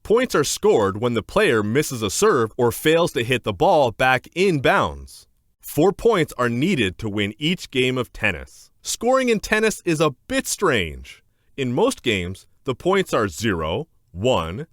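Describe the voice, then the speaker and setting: A man narrating a children's educational book aloud in a scripted, clear and deliberate instructional manner. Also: medium pitch, sing-song, dry recording, clean studio sound.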